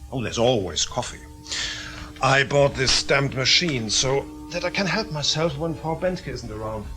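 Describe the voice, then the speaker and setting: An actor playing a chatty, hospitable German surgeon in a radio drama, dryly complaining to an old friend. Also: male voice, mid-range voice, animated, unhurried, close to an everyday voice. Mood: genial, wry. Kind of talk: naturalistic radio drama acting. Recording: music clearly under the voice.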